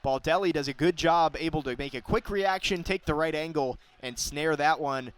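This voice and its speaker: A young man calling a baseball game live as play-by-play announcer, describing each pitch and getting excited on big plays. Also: normal speed, smooth. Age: young adult.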